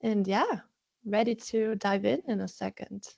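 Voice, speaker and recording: A woman's voice: medium pitch, a little slow, vocal fry, darkish tone, muffled recording. A woman with a German accent, a native German speaker, introducing herself on a webinar in a friendly, upbeat way with many small fillers. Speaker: webinar presenter, stress coach. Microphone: video call from home.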